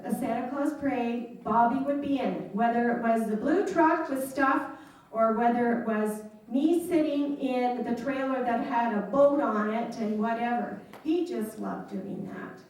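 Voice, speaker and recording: A woman's voice: fairly high pitch, normal speed, darkish tone. A woman in her fifties, telling amused, chatty stories as a local history speaker. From Canada. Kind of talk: reminiscing talk to an audience. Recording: echoey room from a distant mic.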